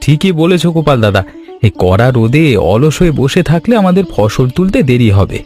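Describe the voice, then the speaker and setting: A man's voice: on the high side, sing-song, moderate pace, a little mellow, soft background music. A man playing an earnest, agreeable village farmer and loyal friend in a cartoon story. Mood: earnest, hopeful. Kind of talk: Bengali cartoon voice acting.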